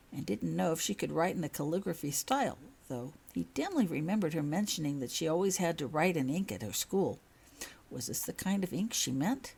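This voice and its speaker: A woman's voice: low-pitched, creaky, a little mellow, breathy edge. A woman reading a story aloud as scripted audiobook narration, as the author of the fiction.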